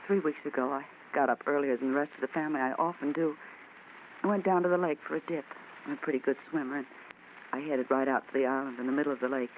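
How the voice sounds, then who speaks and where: An actress playing a frightened young woman seeking a detective's help, earnest and anxious, in 1940s radio acting style. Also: low-pitched. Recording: tinny old radio recording, faint hiss.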